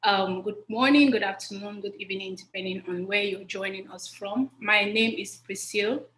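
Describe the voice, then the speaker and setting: A woman's voice: medium pitch, fairly even intonation, normal speed, fairly bright tone. Earnest woman from Cameroon, echoey room. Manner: earnest, repeats points for emphasis. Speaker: guest, business distributor. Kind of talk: livestream interview answers.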